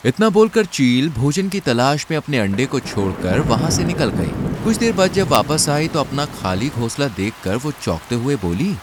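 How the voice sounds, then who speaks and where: A man's voice: mid-range voice, big pitch swings, normal speed, few pauses, noisy background. A man narrating a scripted children's story.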